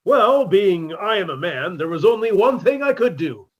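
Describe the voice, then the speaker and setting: A man's voice: high-pitched, big pitch swings, normal speed, lo-fi sound. A man playing a shabby, self-pitying musician neighbor who delivers dry punchlines in a 1950s-style radio comedy.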